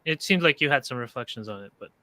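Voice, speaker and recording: A man from Canada hosting a discussion group, telling casual personal anecdotes in halting, hesitant phrases. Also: medium pitch, lively intonation, pushed and bright.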